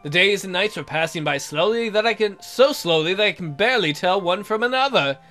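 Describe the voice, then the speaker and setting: A man's voice: high-pitched, lively intonation, a little fast, pushed and bright. A man reading a visual novel aloud as a let's player, in a theatrical, jokey way with asides. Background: music.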